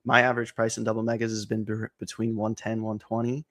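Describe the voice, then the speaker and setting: A man in his twenties, excitable and energetic, chatting casually about card breaks with lots of fillers. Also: lowish voice, fast. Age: 20s. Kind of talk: casual chat on a stream.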